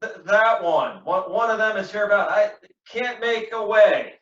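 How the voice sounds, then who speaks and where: A man's voice: sits high, voice pushed up, lightly acted. Man playing a stammering, panicked wounded soldier. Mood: pained stammering. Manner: halting, stammering, pleading. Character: wounded soldier Cassio, panicked, pleading, gasping. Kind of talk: hammy Shakespeare play acting. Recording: muffled, roomy echo.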